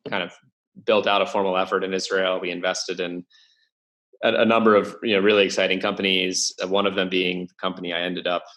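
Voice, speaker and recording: A man's voice: low voice, little pitch movement, fairly quick, fairly bright tone. A young man speaking as a podcast guest in casual interview talk, hesitant, with many fillers and restarts. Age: young adult. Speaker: podcast guest, venture investor.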